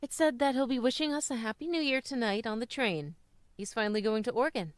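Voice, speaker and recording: A tender young boy's mother with a wry streak, acted by a woman in old-time radio style. Her voice is fairly high and the sound is dry. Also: fast talker, dry recording, close to an everyday voice. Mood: fond, patient.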